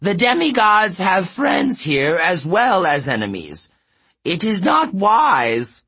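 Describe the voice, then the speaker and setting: A man's voice: high voice, huge pitch swings, slow pace, sharp tone, slightly rough, no echo, voice pushed up, heavily acted. A man voicing a worried, defiant giantess daughter with a reedy, insistent voice in a fantasy audiobook. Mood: defiant warning. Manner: pleading, insistent.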